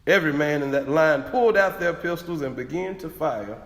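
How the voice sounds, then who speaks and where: In an echoey hall, a man plays a young former slave testifying. He tells it earnestly as a staged reading, in a fairly high, very lively voice. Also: brisk. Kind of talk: dramatic reading of historical testimony. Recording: echoey hall with long reverb.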